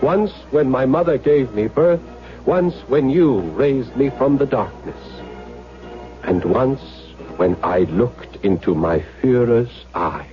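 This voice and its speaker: Casting: rhapsodic young fanatic for vintage radio play, mid-pitched, lively melody, intense and devoted. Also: male voice, slow pace. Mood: rapturous. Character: fervent, earnest young male political zealot. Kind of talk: 1970s radio drama acting. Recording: muffled old radio recording, faint music.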